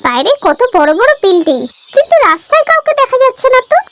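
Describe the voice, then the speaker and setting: A woman's voice: very high-pitched, very animated, fast talker, a bit bright, full character voice. A woman voicing a polite, earnest young village bride in a cartoon. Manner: respectful, earnest. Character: polite, eager young village daughters-in-law, simple and earnest.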